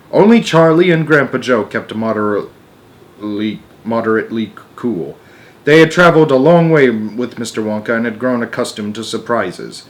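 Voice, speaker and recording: A man narrating a storybook aloud in an expressive, emphatic manner, with casual asides. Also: mid-range voice. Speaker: story narrator and channel host.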